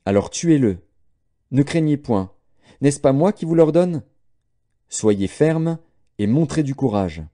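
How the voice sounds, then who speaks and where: A man's voice: mid-range voice, animated, slow, pauses often, dark tone, pitched above the performer's own voice. A man voicing a vengeful prince who firmly commands his servants, in a scripture audiobook. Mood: commanding. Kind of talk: scripture storytelling.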